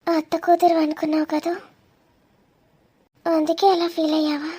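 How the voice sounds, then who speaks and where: A woman's voice: very high voice, level tone, normal speed, dark tone. A woman voicing a shy, affectionate young woman in a cartoon romance, with a sweet, hesitant manner. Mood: tender.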